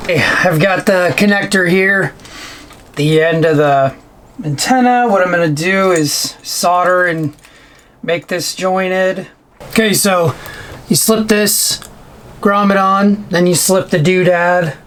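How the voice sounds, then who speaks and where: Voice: mid-range voice, fairly even intonation, slow pace, fairly bright tone. A DIY vlog host giving casual, hands-on how-to narration, informally talking to themself while working. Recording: clearly noisy on-site recording, clicks.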